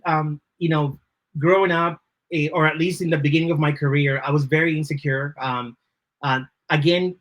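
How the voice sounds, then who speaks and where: A man's voice: a little high, fairly bright tone. A man from the Dominican Republic, a native Spanish speaker with a Dominican accent, answering interview questions thoughtfully and often checking in with "right?". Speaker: podcast guest, creative leader.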